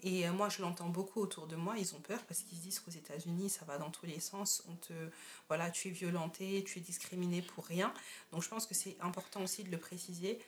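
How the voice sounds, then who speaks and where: A woman's voice: lowish voice, fairly even intonation, a little fast, a little hiss or hum. A woman in her thirties hosting a casual interview podcast, framing her questions at length. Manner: reflective, asks long framing questions. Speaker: podcast host.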